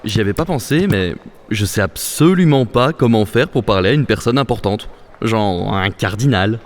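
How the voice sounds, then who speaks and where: A man playing an easygoing, slow-witted insect sidekick in an audio drama, hesitant and dopey. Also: lowish voice, lively intonation, close to an everyday voice. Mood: hesitant, dim.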